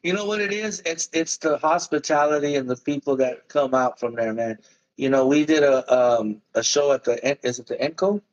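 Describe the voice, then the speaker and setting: A man's voice: on the high side, moderate pace, lo-fi sound. A musician guest in a casual interview, speaking in loose run-on sentences and calling the host "man" and "bro".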